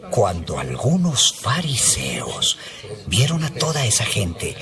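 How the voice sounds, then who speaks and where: A man's voice: fairly low pitch, wildly swooping pitch, slow, vocal fry, crowd noise. A man narrating a dramatized scripture reading as a scripted storyteller. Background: music.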